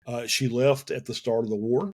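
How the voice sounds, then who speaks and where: A man with a Southern US accent, a guest in a casual podcast interview, talking in halting phrases with many fillers and restarts. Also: medium pitch, fairly even intonation, breathy edge. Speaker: guest, sim racing team co-owner.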